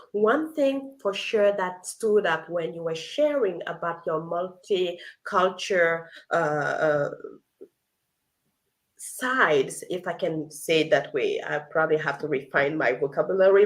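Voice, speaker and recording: A woman's voice: fairly low pitch, rough recording. A woman hosting an unscripted live interview, effusive and given to repeating phrases for emphasis. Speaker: host of a live leadership talk show.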